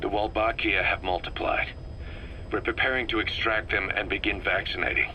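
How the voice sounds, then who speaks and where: An actor playing a gruff, guarded military commander in a video game, with terse, clipped phrasing. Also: male voice, lowish voice, steady tone, normal speed, close to an everyday voice. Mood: concerned, businesslike. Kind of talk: dramatic video game voice acting. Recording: faintly noisy taped field recording.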